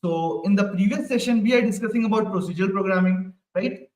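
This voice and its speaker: High-voiced man teaching online. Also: fast, darkish tone. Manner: repeats questions to the class, ends lines with "right?". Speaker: programming instructor. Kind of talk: live online coding class. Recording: echoey room, mic at a distance.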